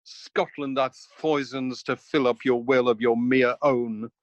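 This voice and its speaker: Radio Shakespeare: loyal patriot nobleman male actor, mid pitch, earnest declamation, dry acoustic. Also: fairly even intonation, unhurried, no echo, dull audio, natural voice. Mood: counselling, earnest. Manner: earnest, declamatory verse delivery. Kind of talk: radio play Shakespeare acting.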